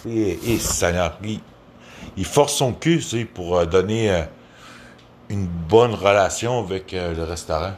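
A man's voice: low voice, measured pace, a little mellow, slight background noise. A man from Quebec with a Québécois accent, giving a casual, rambling rant in a crude, profane, hesitant way. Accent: Québécois French.

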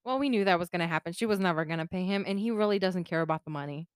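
A woman's voice: on the low side, racing, clean tone, no echo, studio quality. A woman from Puerto Rico co-hosting a podcast in casual chat, laughing often and slipping between English and Spanish. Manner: laughs often, bilingual code-switching. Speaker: podcast co-host.

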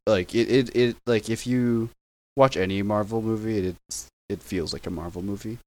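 Podcast guest, young man, lowish voice, loose rambling delivery. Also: normal speed. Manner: rambling, self-correcting, laughs easily. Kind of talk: casual chat over video call. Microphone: video call.

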